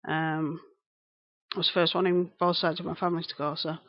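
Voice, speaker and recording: A woman's voice: fairly low pitch, flat delivery, a little fast, no echo, dull audio. A woman in her thirties with a broad Lancashire accent, chatting casually on a live stream, greeting viewers and repeating herself as she rambles. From England. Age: 30s.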